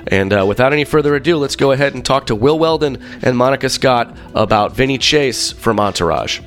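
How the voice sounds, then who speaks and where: A man hosting a podcast in casual chat, talking with many fillers and a slightly rough voice, congested from being sick. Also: medium pitch, a little fast, music in the background. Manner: rambling, animated storyteller with many fillers. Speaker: podcast host.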